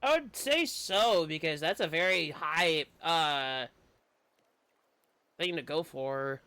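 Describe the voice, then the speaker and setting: A man's voice: fairly high pitch, expressive, slow pace, pushed and bright, clean tone, dry recording. A man streaming a game, giving casual, laid-back commentary with brief musing reactions.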